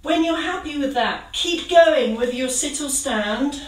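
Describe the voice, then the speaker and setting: A woman's voice: high-pitched, a little slow, a little hiss or hum. Lady talking people through a chair workout, kinda far from the mic, echoey room. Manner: calm, encouraging step-by-step cues. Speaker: exercise instructor. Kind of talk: guided exercise class. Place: kitchen. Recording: roomy sound from away from the mic.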